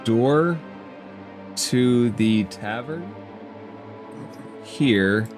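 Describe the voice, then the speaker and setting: Animated, unhurried male game master. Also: mid-range voice, soft background music. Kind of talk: narrating a tabletop game, casual.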